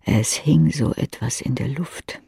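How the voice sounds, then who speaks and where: A woman's voice: very deep voice, unhurried, vocal fry, mellow, husky, dry recording, studio quality. A woman reading scripted literary narration in the second person for an audio drama.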